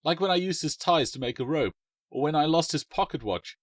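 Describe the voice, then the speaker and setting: A man voicing a cheeky, excitable young boy who whines and protests. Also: a little high, a little fast, dry recording, pitched above the performer's own voice. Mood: complaining.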